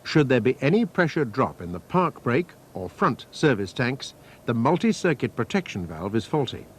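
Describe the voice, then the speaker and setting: A man reading scripted technical instructions as a training film narrator, in a measured, methodical, formal manner. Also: mid-range voice, big pitch swings. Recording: faint noise, archival training film soundtrack.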